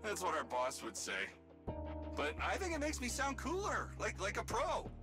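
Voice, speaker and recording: Excitable show-off robot gunslinger from a game, really high voice all over the place, rambly and theatrical. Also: male voice, normal speed. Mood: chatty, proud. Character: boastful, excitable young male battle android gunslinger. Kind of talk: English game trailer voice acting. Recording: music behind the voice.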